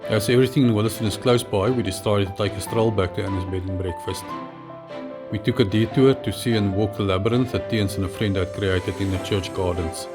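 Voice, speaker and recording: Low-voiced man narrating over music. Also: steady tone, fairly quick. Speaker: pilot and travel vlog narrator.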